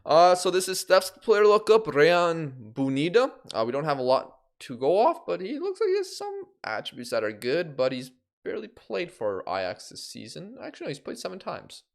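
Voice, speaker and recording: A man's voice: a little high, big pitch swings, moderate pace, creaky, few pauses. A young man from Canada, chatting on a live game stream and reacting aloud to results.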